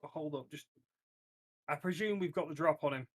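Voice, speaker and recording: Higher-pitched man playing a tabletop game. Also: normal speed. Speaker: player in a tabletop roleplaying game. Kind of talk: casual, sweary game chat with in-character lines.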